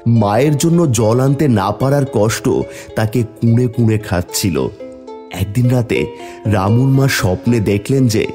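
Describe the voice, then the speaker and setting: A man narrating a scripted fairy tale as a storyteller for an animated video. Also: mid-range voice, measured pace, soft background music.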